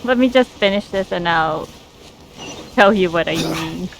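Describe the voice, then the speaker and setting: A woman casually commentating as she plays a video game, answering the characters aloud in a relaxed manner. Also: on the low side, a little slow, gravelly, background noise. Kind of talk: casual gameplay reaction chat.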